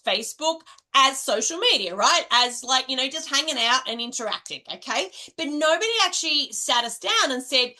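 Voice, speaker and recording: Middle-aged Australian woman, high and bright, chatty coach who keeps checking in with "right?". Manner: chatty, ends nearly every point with "right?". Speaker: direct selling coach. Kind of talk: casual coaching monologue to camera.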